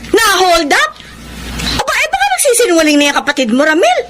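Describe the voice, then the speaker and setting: An actress with a Filipino accent playing a sensitive, suspicious wife who stands up for herself in a radio drama. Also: female voice, very high-pitched, big pitch swings, quick pace. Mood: suspicious, reproachful. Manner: emotional, reproachful. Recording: noisy radio drama recording.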